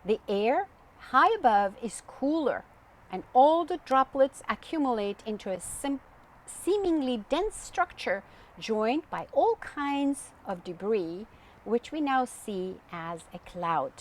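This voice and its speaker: Woman voicing a slow, patient storybook uncle. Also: on the high side, big pitch swings, exaggerated voice. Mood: patient, instructive. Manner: patient, explanatory, deliberate. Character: kindly, knowledgeable uncle, a storybook meteorologist.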